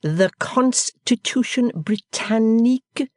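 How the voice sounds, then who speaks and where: A narrator voicing a pompous English gentleman who speaks slowly and condescendingly, as if to a deaf child. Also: mid-range voice, expressive, no echo, pitched above the performer's own voice. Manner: slow, loud, over-explaining as if to a child. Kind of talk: audiobook character voice.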